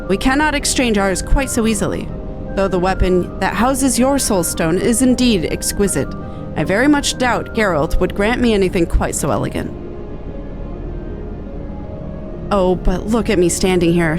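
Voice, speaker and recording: A woman's voice: mid-range voice, lively intonation, moderate pace, close to an everyday voice. Woman reading a proud, formal scholar. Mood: awed joy. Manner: formal, precise, lofty. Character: bookish, proud, earnest scholarly researcher. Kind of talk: game dialogue read-aloud. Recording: music behind the voice.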